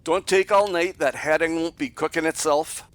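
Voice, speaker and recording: An actor playing a curt, suspicious, tight-fisted Scottish crofter in an audio play. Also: male voice, a little high, fairly even intonation, moderate pace, lightly acted. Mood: stern, bossy. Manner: curt, bossy, blunt.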